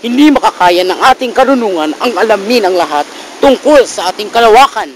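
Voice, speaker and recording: A man's voice: sits high, lively intonation, fairly quick, a little hiss or hum, low-quality recording. A man playing an earnest young nobleman student, polite and formal, who sometimes stumbles on his words in an amateur drama. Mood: reasoning.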